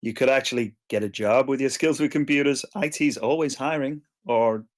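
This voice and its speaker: A man's voice: medium pitch, moderate pace, close to an everyday voice. A man playing an exasperated, teasing young friend in a screenplay read, talking with sarcasm.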